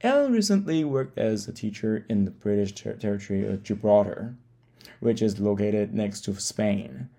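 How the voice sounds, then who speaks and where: A man hosting a podcast interview with halting delivery, often stumbling over and repeating words, in a gravelly voice. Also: on the low side, lively intonation, mellow. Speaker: podcast host. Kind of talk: interview, reading a guest introduction then asking questions.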